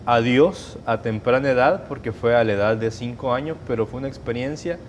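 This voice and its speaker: Salvadoran man giving measured testimony. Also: mid-range voice, slight background noise. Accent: Salvadoran Spanish. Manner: measured, hesitant, with restarts and fillers. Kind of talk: personal testimony in an interview.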